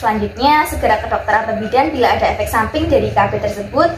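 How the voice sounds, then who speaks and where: Brisk young woman. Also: a little high. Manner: brisk listing, strings points together with "nah" and "terus juga". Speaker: midwifery student presenter. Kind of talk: health education talk to a small group. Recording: echoey room with background noise.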